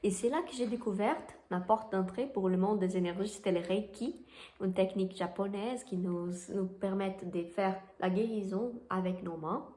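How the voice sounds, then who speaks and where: A woman's voice: mid-range voice, moderate pace, mellow. A woman from Brazil with a Brazilian Portuguese accent, a Reiki teacher whose first language is Portuguese, talking enthusiastically about a book and often restarting as she searches for words. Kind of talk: casual book talk to camera. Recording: roomy echoey room.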